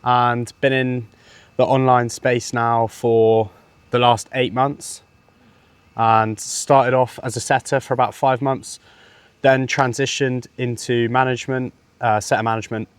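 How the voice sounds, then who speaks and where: A man's voice: mid-range voice, little pitch movement, unhurried, clean tone, dry recording. A man of twenty, talking as an interview guest, confident and easygoing, with plenty of "you know". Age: 20s. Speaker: interview guest, sales closer. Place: villa, indoors.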